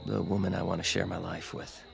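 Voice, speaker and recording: An actor playing a devoted, formal fiancé in a radio drama, solemn and measured, with a gravelly voice. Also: male voice, sits low, flat delivery, faint music behind. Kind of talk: dramatic radio play acting.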